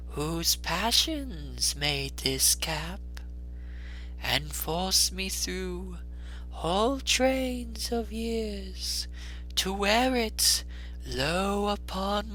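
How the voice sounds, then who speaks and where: A man voicing a gentle, solemn, ageless Christmas spirit in a soft, airy voice, reading a storybook dramatically. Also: medium pitch, voice pushed up, low-quality recording. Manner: slow, drawn-out, stately delivery.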